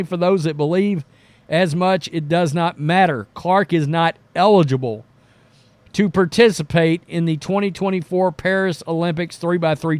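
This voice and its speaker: A man's voice: fairly high pitch, darkish tone, clean tone, no echo. A man giving casual, opinionated sports commentary as a show host, talking in a blunt, informal way. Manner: blunt, informal, mildly profane.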